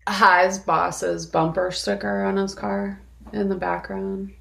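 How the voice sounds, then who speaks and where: A woman co-hosting a podcast, chatting casually about film in a blunt, opinionated way with a gravelly creak in her voice. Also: medium pitch, flat delivery, takes it slowly, a bit bright. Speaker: podcast co-host. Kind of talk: casual film discussion chat.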